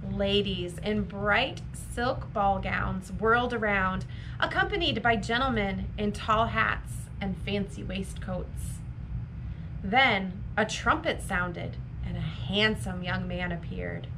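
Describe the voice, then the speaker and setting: Female storytime reader, unhurried and deliberate, mid-pitched, expressive for young listeners, with clear background noise. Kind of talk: expressive storybook reading for children.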